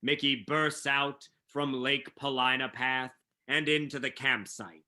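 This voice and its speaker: A man's voice: mid-range voice, fairly even intonation, a little slow, pushed and bright. A man reading scripted narration for a staged play reading.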